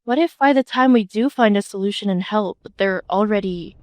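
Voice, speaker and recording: A woman's voice: medium pitch, moderate pace, no echo, close to an everyday voice. A young woman voicing a worried, take-charge leader of a group on the run in a webtoon fan dub. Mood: grim, focused.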